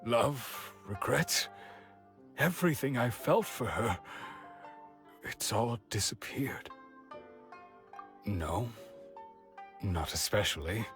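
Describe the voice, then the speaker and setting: A man's voice: lowish voice, a bit bright. An actor playing a wistful, resigned middle-aged village man in a video game, musing and patient. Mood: wistful, sad. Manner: slow, musing, sighing delivery.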